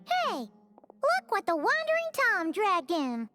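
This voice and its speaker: A woman voicing a small, childlike fairy companion in a video game, squeaky and chatty. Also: wildly swooping pitch, takes it slowly, pushed and bright, faint music behind, full character voice. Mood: surprised, teasing. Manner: refers to self in third person, chatty.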